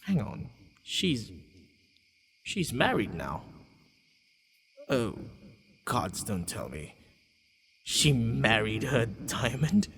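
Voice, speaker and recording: A man playing a flustered, secretly tender vampire lord in an ASMR roleplay, with a gravelly voice and a hesitant, sighing delivery. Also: on the low side, fairly bright tone, a little hiss or hum, exaggerated voice. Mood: startled realization. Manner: hesitant, sighing, murmuring to himself. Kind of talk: ASMR boyfriend roleplay audio.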